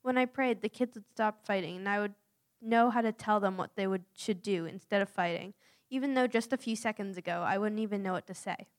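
A young woman from the United States reading a prepared personal account aloud in an earnest, steady way. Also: medium pitch, fairly quick. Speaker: youth mission volunteer.

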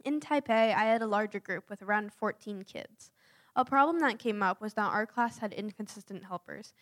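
Young woman volunteer, reads her written story aloud, earnest and steady, mid-range voice. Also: medium pitch, normal speed. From United States. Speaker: youth mission volunteer. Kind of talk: reading a prepared personal account aloud.

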